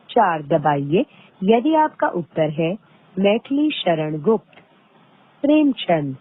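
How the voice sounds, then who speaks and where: Husky woman on a tinny phone line. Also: on the low side. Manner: formal, measured instructions with deliberate pauses. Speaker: automated phone line announcer. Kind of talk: scripted IVR phone prompts. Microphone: recorded phone call. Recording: tinny band-limited phone line recording.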